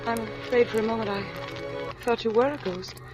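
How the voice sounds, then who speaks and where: Casting: actress as gentle widowed young mother, fairly high voice, courteous and fragile, old radio drama with music. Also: steady tone, moderate pace, lightly acted, low-quality recording. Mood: wistful, sad. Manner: polite, apologetic, hesitant. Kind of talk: 1940s radio acting.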